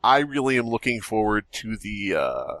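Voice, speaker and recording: A man's voice: lowish voice, moderate pace, no echo. Joking man on a podcast, dry sound. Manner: jokey, animated, laughs often. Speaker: podcast co-host. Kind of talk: casual comedic banter.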